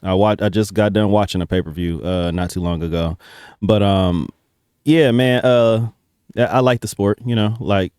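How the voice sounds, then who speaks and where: Male reaction host, lowish dry voice, casual slang, salty language, loose and halting phrasing. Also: fairly even intonation, darkish tone, clear, resonant tone, no room sound. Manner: hesitant starts, slangy, profane.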